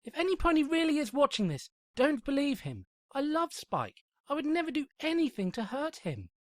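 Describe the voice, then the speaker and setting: A woman voicing an indignant, easily exasperated female pony princess in a shrill, exclamatory cartoon manner. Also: wildly swooping pitch, normal speed, no echo, studio quality. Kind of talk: cartoon fan-fiction voice acting.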